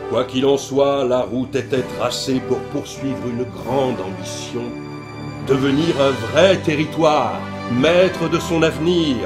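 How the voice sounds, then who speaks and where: A man playing a solemn, slightly pompous local statesman who speaks in a measured, formal way. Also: mid-range voice, music in the background, natural voice. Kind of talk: scripted promotional dialogue.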